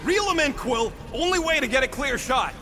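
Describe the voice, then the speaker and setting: An actor voicing a scrappy, hot-tempered raccoon mercenary in a video game, brash and abrasive with a strained, gravelly voice. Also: male voice, extremely high pitch, expressive, normal speed, background noise. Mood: urgent, brash. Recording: reverberant shouting over music.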